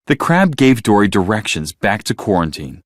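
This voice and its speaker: A man reading a children's bedtime story as narrator, in an expressive, scripted storytelling style. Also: fairly low pitch, moderate pace, dry recording, studio quality.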